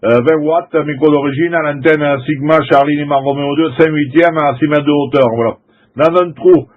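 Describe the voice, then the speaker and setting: A middle-aged man from France talks as a CB operator in a somewhat high-pitched voice. His chat is casual and colloquial, halting and circling back over the same phrases. The sound is a little dull and lo-fi. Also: fairly even intonation. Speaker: amateur CB radio operator. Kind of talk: casual CB radio contact chat.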